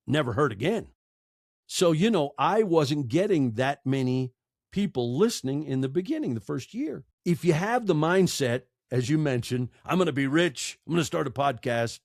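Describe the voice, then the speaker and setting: Guy guest on a podcast, really animated and emphatic, dry recording, talks like he's giving advice. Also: fairly high pitch, dry recording. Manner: emphatic, repeats phrases for effect. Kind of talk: conversational advice in an interview.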